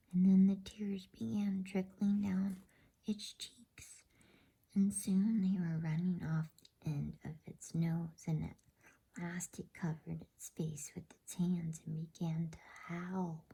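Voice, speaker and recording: A woman softly narrating a bedtime story in ASMR style, mostly in a whisper. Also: lowish voice, flat delivery, takes it slowly, creaky, husky.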